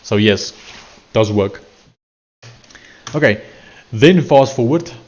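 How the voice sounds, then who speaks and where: A man's voice: medium pitch, lively intonation, slow pace, a little mellow, highs cut off. A man from South Africa with an Afrikaans accent, chatting casually to a live-stream audience while he works on screen, rambling and correcting himself as he goes.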